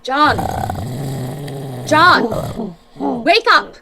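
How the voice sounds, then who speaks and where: A woman's voice: high-pitched, animated, extremely slow, crowd noise, full character voice. A woman playing a penny-pinching, exasperated wife who needles and complains in an old-time radio comedy. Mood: nagging, exasperated.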